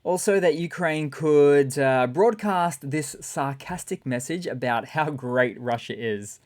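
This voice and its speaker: A man with a raspy voice hosting a casual, sarcastic news commentary. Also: on the high side, takes it slowly, a little mellow. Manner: sarcastic, chatty. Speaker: channel host.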